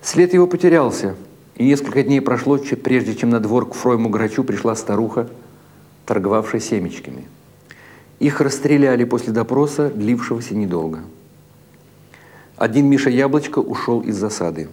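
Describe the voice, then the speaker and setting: A man narrating literary short stories as a dramatic audiobook, in a measured, deliberate way with expressive phrasing. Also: medium pitch. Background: music behind the reading.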